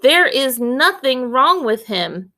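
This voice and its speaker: Woman playing a brisk, bright-voiced old country doctor. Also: sits high, sing-song, voice pushed up, exaggerated voice. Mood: probing, matter-of-fact. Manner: curt, no-nonsense.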